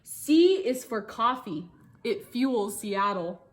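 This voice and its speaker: Female teacher for children's picture-book read-aloud. Slightly high voice, lively singsong intonation, engaging asides to young listeners. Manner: singsong storybook phrasing, pausing to engage listeners.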